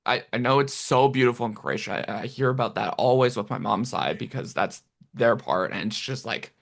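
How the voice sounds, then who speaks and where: A man's voice: mid-range voice, a little fast, vocal fry, a bit bright. A man hosting a casual podcast interview over a remote call, often stammering and restarting his sentences. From Canada. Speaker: podcast host.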